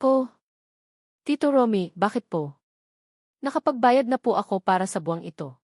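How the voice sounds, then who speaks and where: A woman's voice: fairly high pitch, animated, normal speed, darkish tone, no echo, clean studio sound. A woman narrator playing an anxious bakery owner in a story, pleading politely and nervously. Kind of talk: acted dialogue in story narration.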